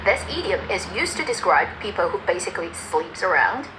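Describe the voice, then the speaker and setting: A woman who speaks natively explains phrases clearly, translating and unpacking them step by step. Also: medium pitch, very animated, a little fast, noisy background. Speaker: explainer in a lip reading video. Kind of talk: explanatory narration.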